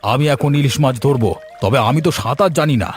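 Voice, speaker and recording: Cartoon glutton uncle man acting: mid-pitched, shameless, wheedling and demanding, music underneath. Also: fast talker, lightly acted. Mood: eager. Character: gluttonous freeloading middle-aged male relative, shamelessly demanding. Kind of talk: Bengali cartoon story voice acting. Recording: music behind the voice.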